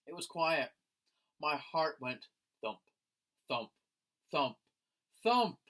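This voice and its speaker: Animated man reading aloud to children. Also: a little high, extremely slow. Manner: expressive, friendly address to young listeners. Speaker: teacher reading a picture book aloud to children.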